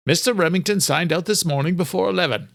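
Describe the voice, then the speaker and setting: Man acting a formal sergeant, raised pitch. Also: quick pace, vocal fry, fairly bright tone, no room sound, studio quality. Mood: businesslike. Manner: formal, official. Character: formal male embassy duty sergeant.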